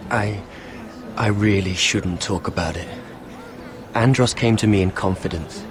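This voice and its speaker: A man's voice: on the low side, unhurried, close to an everyday voice. A man playing a clumsy, meek nobleman in a fantasy game, hesitant and apologetic as he talks. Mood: bashful, hesitant. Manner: hesitant, apologetic, soft-hearted. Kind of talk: fantasy video game acting. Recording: music behind the dialogue.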